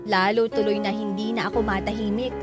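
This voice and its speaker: Loud music runs under a woman acting as a hurt, resentful Filipino narrator. The voice is mid-pitched, earnest and reflective, telling a radio drama in the first person. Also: little pitch movement, a little fast, lightly acted. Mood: bitter, brooding. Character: wounded, resentful grown child narrating family betrayal.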